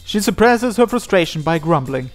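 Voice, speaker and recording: Higher-pitched man reading dramatic narration. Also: very animated, moderate pace. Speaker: host reading a visual novel aloud. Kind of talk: scripted narration.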